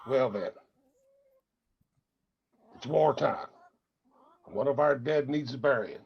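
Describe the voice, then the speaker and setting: Stern old appalachian pa, slow and gravelly, radio play. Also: male voice, medium pitch, muffled recording, exaggerated voice. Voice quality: husky, gravelly. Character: weary, stern old mountain father and patriarch. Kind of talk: radio drama acting.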